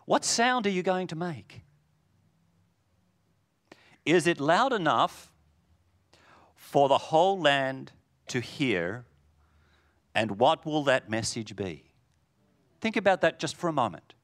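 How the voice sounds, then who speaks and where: A man preaching a sermon in a booming voice, swinging from loud declarations to hushed lines. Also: sing-song, slow, pauses often.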